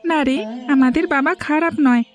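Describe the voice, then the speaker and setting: A woman's voice: sits high, normal speed, lightly acted. A woman voicing a protective young village boy in a cartoon, an earnest, consoling elder brother. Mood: reassuring. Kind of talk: cartoon voice acting.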